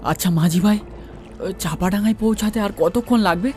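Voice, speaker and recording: A man's voice: high-pitched, fairly quick, darkish tone, faint music behind. A man narrating a first-person horror story and acting out its dialogue.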